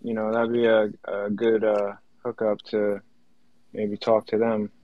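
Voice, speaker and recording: Slow, halting man on dull audio. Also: lowish voice, monotone, no room sound. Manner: halting, searching for words. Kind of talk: casual chat. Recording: dull lo-fi online call audio.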